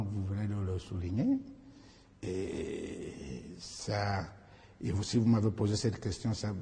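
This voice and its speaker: A middle-aged man from Equatorial Guinea, whose first language is Fang, answering interview questions in a halting way with many pauses and restarts. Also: lowish voice, warm tone, big-room sound, a little hiss or hum. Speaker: candidate being interviewed.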